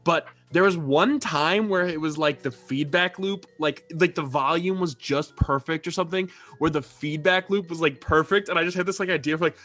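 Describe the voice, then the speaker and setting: A man chatting casually as a podcast host in an excitable, informal way, repeating phrases as he goes. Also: fairly high pitch, normal speed, hardly any pauses, faint music behind. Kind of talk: casual talkback podcast chat.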